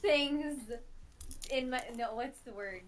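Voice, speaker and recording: Slow-talking high-voiced woman, self-mocking. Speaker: audio drama editor and director. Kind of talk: casual chat.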